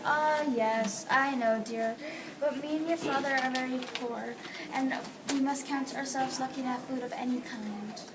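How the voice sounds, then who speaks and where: A woman's voice: sits high, fairly even intonation, normal speed, few pauses, background noise, close to an everyday voice. A female reader playing a poor, loving, encouraging mother in a readers theatre play. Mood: caring. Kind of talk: readers theatre, reading from a script. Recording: distant mic, loud crowd chatter.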